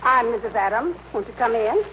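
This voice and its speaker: A woman's voice: fairly high pitch, lively intonation, brisk, natural voice. An actress playing a polite, proper, anxious lady of the house in an old-time radio comedy. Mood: polite, questioning. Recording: muffled, hissy old radio recording.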